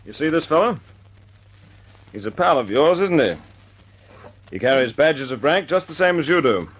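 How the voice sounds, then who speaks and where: RAF squadron leader, clipped, commanding, high lively voice, bright tone. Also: male voice, moderate pace, slightly rough, rough recording. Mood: stern. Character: stern RAF wing commander Gibson, young man. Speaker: squadron leader addressing aircrew.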